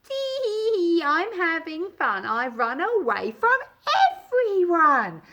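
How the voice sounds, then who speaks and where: A woman's voice: very high voice, very animated, slow, sharp tone, voice pushed up, heavily acted. A woman voicing a mischievous, giggling little gingerbread man in a storybook, taunting in a sing-song way. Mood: gleeful.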